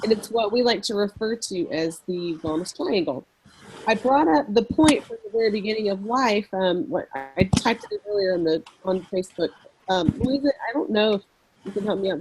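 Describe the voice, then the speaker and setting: A woman's voice: medium pitch, a little mellow, a little hiss or hum, poor audio. Nasal-sounding woman with a head cold. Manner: chatty, many fillers. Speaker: class presenter. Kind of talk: online class talk.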